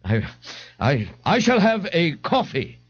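Man doing a pompous, fussy, high-flown fat man. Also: big pitch swings, normal speed, husky, no echo, lo-fi sound, heavily acted. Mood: haughty. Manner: pompous, grandiose. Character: pompous, fat, fussy grown son, theatrically grand.

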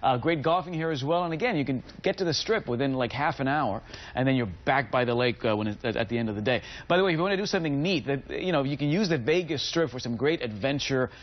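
A man's voice: medium pitch, lively intonation, quick pace, dull audio. A middle-aged man speaking as an enthusiastic, salesy travel expert in a TV studio segment. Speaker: travel editor, guest expert. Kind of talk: upbeat TV travel segment presentation.

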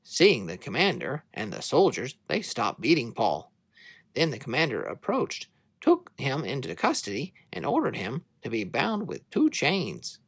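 A narrator reading scripture to children as a dramatic story, in an expressive, measured way. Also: mid-range voice, husky, no room sound.

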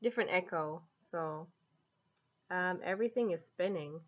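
A woman's voice: lowish voice, normal speed. A woman in her twenties chatting casually as a vlogger, recorded on a webcam at home. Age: 20s. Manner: giggly. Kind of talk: casual chatty app demo. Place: small room at home. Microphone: webcam at desk distance. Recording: dull lo-fi webcam sound.

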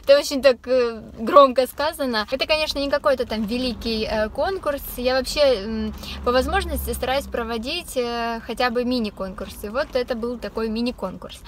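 A young woman chatting casually to the camera from her parked car, with many filler sounds and light laughs. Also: fairly high pitch. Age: young adult. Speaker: vlogger. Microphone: camera on the dashboard. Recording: faint background noise.

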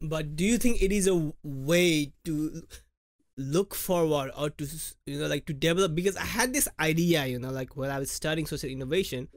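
A man's voice: fairly high pitch, brisk, dry recording. A young man hosting a podcast from a home studio, thoughtful and searching for words with "like" and "right?". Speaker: podcast host. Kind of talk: podcast interview. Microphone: large studio mic with headphones.

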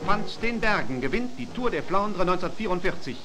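Male newsreel narrator, 1940s archival sound: high-set voice, formal scripted delivery, heavy musical score underneath. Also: big pitch swings, brisk. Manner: formal, declarative announcer delivery. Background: loud music.

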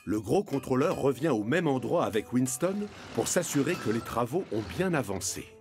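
A man's voice: medium pitch, lively intonation, normal speed, a little mellow. A man narrating a scripted children's story. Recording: music behind the narration.

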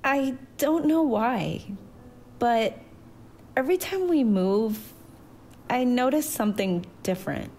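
A woman voicing a thoughtful, anxious daughter, quiet and hesitant, in a narrated story. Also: on the high side, lively intonation, slow, pauses often, a little hiss or hum, pitched above the performer's own voice. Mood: nervous.

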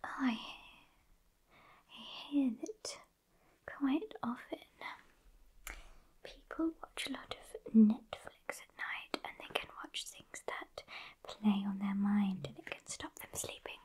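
A young British woman playing a sassy, scatty witch in an ASMR roleplay, chatting and teasing in a soft breathy whisper close to the microphone. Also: on the high side, lively intonation, slow pace, long pauses, full character voice. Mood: soothing, chatty. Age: young adult. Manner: chatty, teasing, gently bossy. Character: sassy, scatty witch casting sleep spells. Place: small indoor candlelit set.

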